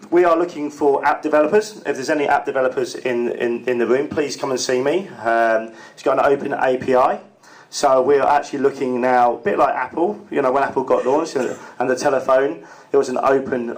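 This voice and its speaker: A man giving an unscripted sales pitch to a room, filling his talk with "actually" and "uh" and often repeating words. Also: poor audio. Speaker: sales representative pitching a product. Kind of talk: impromptu sales pitch at a networking event. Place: event room with an audience.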